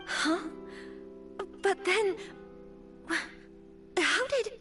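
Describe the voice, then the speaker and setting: High-voiced, earnest young noblewoman. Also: expressive, drawn-out words, exaggerated voice. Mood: surprised. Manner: polite, hesitant, earnest. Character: young noblewoman, polite, earnest, anxious about her duty. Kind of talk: anime game dialogue acting. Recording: distant game audio with music behind.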